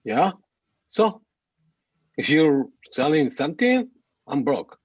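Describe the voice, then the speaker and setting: A man's voice: on the high side, sing-song, takes it slowly, slightly rough, exaggerated voice. A man playing a lackadaisical, cynical army veteran in a screenplay table read, dismissive and laid-back. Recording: muffled, echoey room sound.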